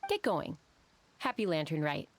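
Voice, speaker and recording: A woman's voice: low-pitched, sing-song, moderate pace, a little hiss or hum. An actress playing a considerate, slightly hesitant young woman giving friendly advice in a video game. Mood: friendly, brisk. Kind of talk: video game character acting. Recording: music behind.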